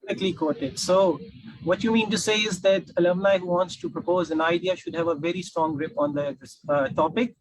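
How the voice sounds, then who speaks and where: Male moderator, notably high-pitched voice, dry close sound, hosting a panel talk. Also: fairly even intonation, a little fast, no echo, low-quality recording. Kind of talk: moderating an online panel discussion.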